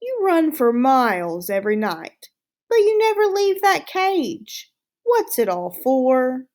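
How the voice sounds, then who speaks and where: Disdainful storybook house cat, acted by a woman: female, teasing and superior, unhurried, with expressive pitch swings. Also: exaggerated voice. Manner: condescending, teasing. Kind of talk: storybook read-aloud dialogue.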